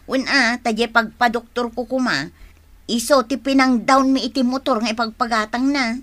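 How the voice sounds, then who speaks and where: A woman's voice: on the high side, normal speed, fairly bright tone, lightly acted. A woman playing a middle-aged vendor wife in a radio drama, plaintive, nagging and jealous, full of exclamations. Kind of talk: Ilocano radio drama acting.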